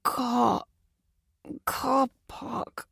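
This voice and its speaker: A woman voicing a frightened, injured teenage boy who talks in a hoarse, halting way. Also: high-pitched, expressive, no echo, studio quality, voice pushed up. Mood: dazed. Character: hurt, frightened teenage boy, weak and shaken.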